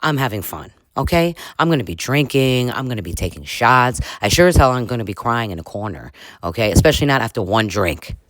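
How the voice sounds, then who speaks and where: A man's voice: mid-range voice, very animated, a little fast, fairly bright tone, no echo. A man hosting a comedic reaction video, trading sarcastic asides with little chuckles.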